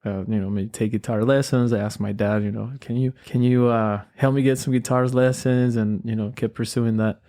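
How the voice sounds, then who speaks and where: A man with a Colombian accent speaking in an easygoing interview chat. His voice is smooth and resonant, at a middle pitch. He talks thoughtfully, pausing and restarting as he finds his words. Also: fairly even intonation, warm tone. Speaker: guest musician.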